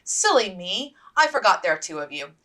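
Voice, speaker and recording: A woman's voice: mid-range voice, sing-song, normal speed, fairly bright tone. Woman putting on a bossy, gushing officer's wife. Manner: commanding, effusive.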